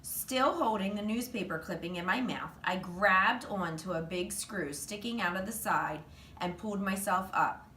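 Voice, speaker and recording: A woman reads a children's book aloud with animated expression. Her voice is bright and forward, caught a little far from the mic in an echoey room with faint noise behind it. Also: medium pitch, normal speed. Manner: animated storytelling. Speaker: reader, teacher.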